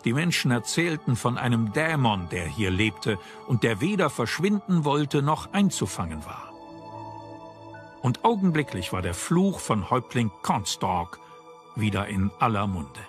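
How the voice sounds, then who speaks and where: A man's voice: mid-range voice, very animated, unhurried, soft background music. A man reading scripted narration as the narrator of a documentary.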